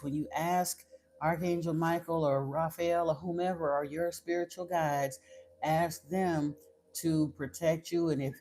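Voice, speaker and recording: Casual livestream spiritual host from Florida, mid-pitched voice, frank and chatty, answers viewers one by one. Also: warm tone, slight background noise. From Florida, United States. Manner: chatty and direct, turns blunt and stern; frequent fillers and self-restarts.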